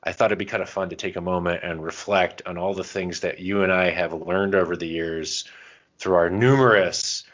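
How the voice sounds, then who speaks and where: Male podcast co-host. Very low voice, casual and self-mocking banter, slightly dull audio. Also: fairly even intonation, moderate pace, a bit bright. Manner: jokey, bantering, self-deprecating. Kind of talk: casual chat.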